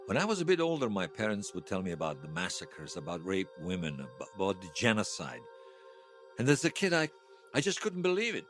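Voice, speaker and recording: A man's voice: deep voice, huge pitch swings, brisk, fairly bright tone, faint music behind, exaggerated voice. An actor playing a regretful, bitter man who is hailed as a hero, confessing his shame in an audio drama. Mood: rueful. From Bosnia. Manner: confessional, self-reproaching. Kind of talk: fiction podcast drama acting.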